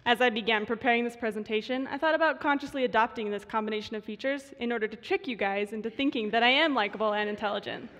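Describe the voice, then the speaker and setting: A woman in her twenties with vocal fry, a student voice researcher giving a prepared stage talk in measured tones. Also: on the high side, fairly bright tone. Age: 20s. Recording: reverberant hall.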